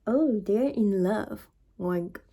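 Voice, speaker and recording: A woman voicing a calm, helpful little pig from a children's storybook, answering matter-of-factly in short lines. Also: mid-range voice, very slow, a little hiss or hum.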